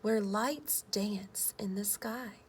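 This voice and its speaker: A woman voicing the Earth as a wise, guiding storybook spirit, speaking emphatically with drawn-out phrase endings. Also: mid-range voice. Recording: clear background noise.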